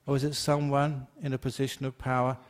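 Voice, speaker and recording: An older English-born Buddhist monk giving a chatty, joking Dharma talk, answering questions from the floor and laughing often. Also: male voice, medium pitch, little pitch movement, fairly quick.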